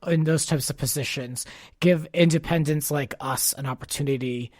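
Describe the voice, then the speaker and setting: A man with a fairly high-pitched voice hosts a casual podcast. He talks informally and encouragingly, and the sound is dry with hardly any room in it. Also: moderate pace, no echo. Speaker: podcast host.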